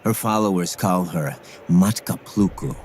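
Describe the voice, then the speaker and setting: An actor playing a brooding, detached warrior in a video game, speaking in cryptic, clipped phrases with a husky rasp. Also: male voice, low-pitched, measured pace, noisy background. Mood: cold, cryptic. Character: brooding, detached male warrior on a secret mission. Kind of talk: video game cutscene acting.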